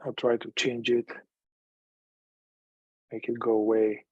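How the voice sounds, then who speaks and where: A man leading a guided meditation in a calm, unhurried manner, leaving long pauses between phrases. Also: medium pitch, slightly rough, no room sound, muffled recording.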